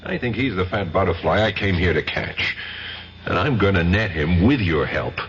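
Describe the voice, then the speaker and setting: A cocky, stubborn American investigator with an insistent, wisecracking delivery. His lowish voice moves lively in pitch. The sound is a dull vintage radio recording with faint hiss. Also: normal speed. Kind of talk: 1970s radio drama acting.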